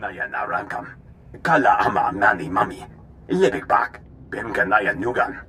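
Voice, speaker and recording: Forceful alien speaker, bright and animated. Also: male voice, on the low side, normal speed, slight background noise. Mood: declamatory. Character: alien man speaking forcefully in an invented tongue. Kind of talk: video game cutscene acting.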